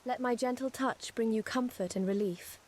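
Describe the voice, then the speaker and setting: Woman voicing a higher-pitched, courteous young mage scholar. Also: natural voice. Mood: thoughtful, gentle. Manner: formal, eloquent, gentle, measured. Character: courteous, earnest young female mage scholar and loyal companion. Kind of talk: scripted fantasy game dialogue.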